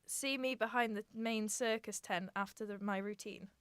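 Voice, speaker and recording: A woman playing a flirtatious, sharp-tongued circus performer in an amateur radio drama, catty and insolent. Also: medium pitch, fairly even intonation, moderate pace, clear, resonant tone, no room sound, close to an everyday voice. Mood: confiding.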